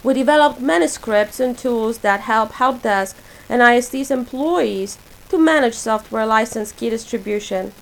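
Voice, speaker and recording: A woman in her forties from Poland, a native Polish speaker, reading a prepared self-introduction for her portfolio in a measured, list-like way. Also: a little high, creaky, low-quality recording. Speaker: graduate student presenting a portfolio.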